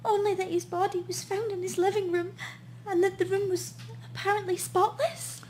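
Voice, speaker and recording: A woman's voice: extremely high pitch, little pitch movement, moderate pace, darkish tone, slight background noise. An actress playing a bereaved, polite and hesitant woman client in a noir radio play. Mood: anxious.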